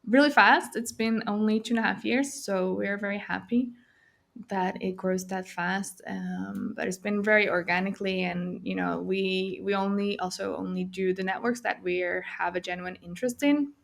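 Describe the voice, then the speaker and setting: Slow, hesitant Dutch-accented woman. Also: mid-range voice, fairly even intonation, creaky. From Netherlands. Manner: hesitant, many fillers, laughs often, switches between Spanish and English. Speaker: guest. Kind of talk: casual interview.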